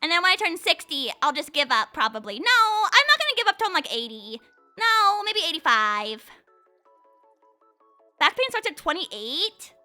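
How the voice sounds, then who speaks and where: A woman's voice: very high-pitched, very animated, normal speed, pushed and bright, faint music behind. A woman in her twenties chatting casually as a livestream host, with a playful, rambling manner and lots of filler "like". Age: 20s. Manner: playful, rambling, says "like" constantly. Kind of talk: casual chat.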